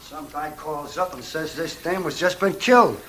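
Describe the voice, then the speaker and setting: An actor playing a brusque street cop in a 1940s radio crime drama. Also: male voice, on the high side, moderate pace, sharp tone. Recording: noisy vintage radio, clicks.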